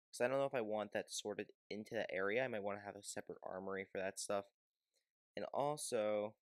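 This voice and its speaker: A man with a fairly low voice hosts a gaming video. He talks casually and loosely, rambling and hesitating as he explains things. The recording is dry, with very little room sound. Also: no echo. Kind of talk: casual game video commentary.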